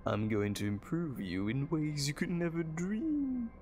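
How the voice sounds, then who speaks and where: A man's voice: fairly high pitch, lively intonation, unhurried, a little mellow, slight background noise. A man playing a gleeful, sadistic mad doctor in an audio drama, genteel and theatrical, relishing every word. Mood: soothing menace. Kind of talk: dramatic audio roleplay acting.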